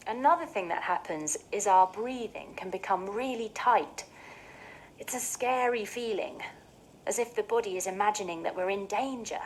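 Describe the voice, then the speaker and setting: A woman hosting a scripted mindfulness session for children, speaking in a soothing, clearly phrased, gently expressive way. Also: medium pitch, normal speed, slight background noise, low-quality recording. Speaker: host of a children's mindfulness show.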